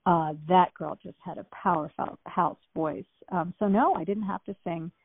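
A woman's voice: lowish voice, normal speed, vocal fry, breathy edge, no room sound. A woman talking as an actress guest in a casual interview, chatty and rambling with many fillers and restarts. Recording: tinny phone-like line.

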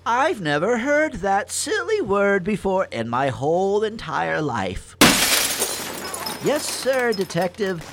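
A woman's voice: medium pitch, sing-song, slow pace, background noise. A woman playing a panicky, guilt-ridden rabbot factory worker in a comic radio play, blurting and bad at lying. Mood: nervous cover-up.

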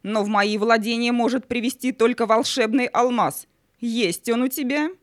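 A woman's voice: fairly high pitch, normal speed, dry recording, studio quality, close to an everyday voice. A woman playing the stern, majestic spirit of Night in a fairy-tale audio play, with a haughty, imperious manner. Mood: guarded, irritated.

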